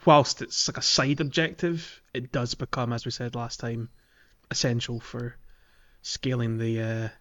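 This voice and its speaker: A man's voice: medium pitch, flat delivery, unhurried, a bit bright. A man hosting a podcast, talking casually and chattily about a game in informal language. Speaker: podcast host.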